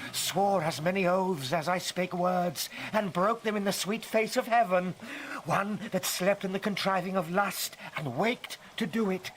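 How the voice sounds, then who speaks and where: High, raving mad beggar. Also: male voice, a bit bright, a little hiss or hum, poor audio. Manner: manic, chanting, yelping. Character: wild shivering mad beggar man, haunted by fiends. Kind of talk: Shakespearean audio drama acting.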